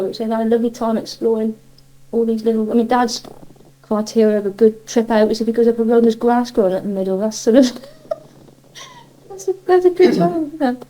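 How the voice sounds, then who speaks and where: A woman's voice: a little high, warm tone, rough recording. An older woman from Cornwall with a Cornish accent, casually reminiscing in a chatty, rambling, nostalgic way. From Cornwall, England. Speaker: interviewee sharing childhood memories.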